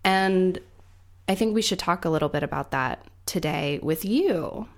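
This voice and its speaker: A woman's voice: on the low side, animated, a little slow. Giggly woman in her twenties. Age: 20s. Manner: giggly, chatty, many fillers. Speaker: podcast co-host. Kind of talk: casual podcast chat.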